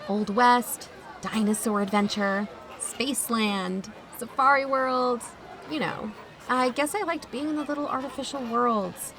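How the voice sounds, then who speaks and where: A woman's voice: fairly high pitch, unhurried, fairly bright tone, some chatter behind, poor audio. A woman playing an uneasy, wry adult chaperone who narrates her own account in a confiding way for an audio drama. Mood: wry, nostalgic. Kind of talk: first-person scripted narration.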